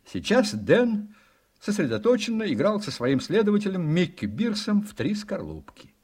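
An actor narrating a political radio drama in an expressive storytelling manner with measured phrasing. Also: male voice, sits high, husky, exaggerated voice. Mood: descriptive.

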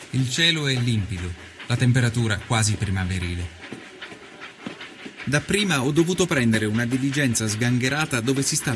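An actor playing an earnest nineteenth-century Italian investigative journalist, narrating his reportage in measured, literary first person for a documentary. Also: mid-range voice, background noise, lightly acted.

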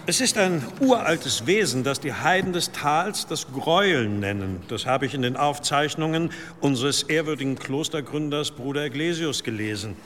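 Solemn monk in a reverberant hall. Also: male voice, on the high side, fairly bright tone. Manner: formal, grave, unctuous toward superiors. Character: solemn, deferential monk preaching of a demon. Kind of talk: radio drama oratory. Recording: long church-like reverb, faint noise.

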